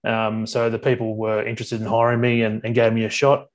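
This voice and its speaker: An Australian man in his forties from Sydney, a workplace advisor talking casually as a guest. He speaks haltingly, with many restarts and ums. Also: fairly low pitch, completely flat delivery. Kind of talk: casual interview talk.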